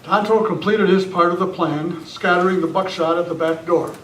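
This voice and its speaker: A man's voice: high voice, moderate pace, natural voice. A man reading scripted narration for an old-time radio western. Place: club meeting room, live presentation. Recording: echoey, noisy room, distant mic.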